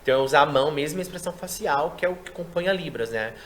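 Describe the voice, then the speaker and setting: A man who works as a sign language interpreter, chatting in an interview and explaining his work in a lively way. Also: fairly high pitch, slight background noise. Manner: quick, explanatory, animated delivery. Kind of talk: casual interview chat.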